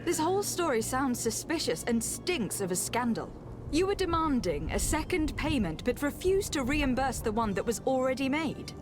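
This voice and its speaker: A woman's voice: high-pitched, very animated, normal speed. An actress playing a poised, commanding noblewoman diplomat in a video game, speaking formally and articulately. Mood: indignant. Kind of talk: scripted video game dialogue. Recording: music behind the voice.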